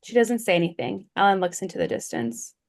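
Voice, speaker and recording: A woman's voice: lowish voice, sing-song, brisk, creaky. A woman reading stage directions as scripted narration at a screenplay table read.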